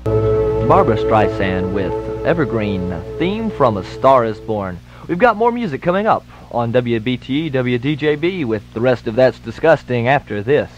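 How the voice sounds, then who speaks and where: A man's voice: medium pitch, big pitch swings, unhurried. A man working as a radio DJ, announcing songs, times and station identification live on air. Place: radio studio. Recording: dull lo-fi 1980s radio aircheck tape. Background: music.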